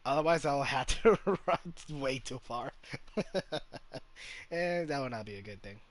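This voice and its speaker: A man's voice: mid-range voice, lively intonation, measured pace. A man giving casual live gameplay commentary, hesitantly thinking aloud as he plays. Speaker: game streamer.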